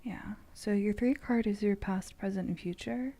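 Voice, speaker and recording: A woman chatting casually in an ASMR style, with a soft, breathy, often whispered voice and a halting, meandering manner. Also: medium pitch. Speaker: streamer host.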